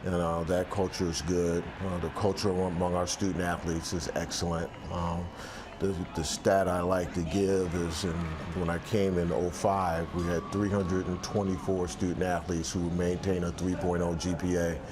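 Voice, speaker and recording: Man, 60s, very low voice, reflective and halting, outdoor interview with a faint hum behind. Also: flat delivery. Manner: reflective, many restarts and fillers. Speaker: athletic director. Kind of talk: sit-down interview. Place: outdoor balcony by the sea.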